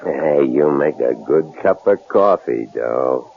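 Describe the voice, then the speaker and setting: A man's voice: a bass voice, animated, slow, gravelly, exaggerated voice. An actor playing a menacing, leering criminal who taunts and orders his captives around in slangy radio thriller style. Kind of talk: old-time radio thriller acting. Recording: tinny, noisy old radio broadcast.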